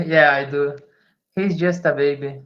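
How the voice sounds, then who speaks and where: A man's voice: fairly high pitch, fairly even intonation, a little slow. A man who speaks English as a learner, chatting casually and hesitantly with frequent small laughs. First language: not English. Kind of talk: casual chat in a language lesson. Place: online video call. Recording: dull lo-fi call audio.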